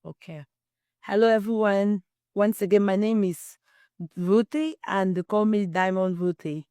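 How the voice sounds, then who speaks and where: A middle-aged woman from Cameroon tells her own story as an interview guest, earnest and full of conviction. Her voice sits at an ordinary pitch for a woman. The sound is dry, with no room echo. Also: measured pace, warm tone, clear, resonant tone. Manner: earnest, testimonial, quotes herself often. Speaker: guest, wellness distributor.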